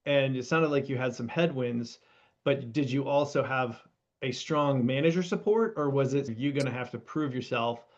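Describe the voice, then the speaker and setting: A man interviews a guest as a podcast co-host, in a conversational style. His voice is mid-pitched, and the sound is a little dull and lo-fi. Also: moderate pace.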